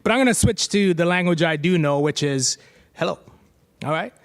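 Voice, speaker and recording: Middle-aged male presenter, high-pitched, playful and chatty, live demo with handheld mic. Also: animated, normal speed, clear, resonant tone. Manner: playful, chatty, jokes with the crowd. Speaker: presenter giving a tech demo. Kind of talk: live stage demo to an audience. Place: stage at a tech meetup.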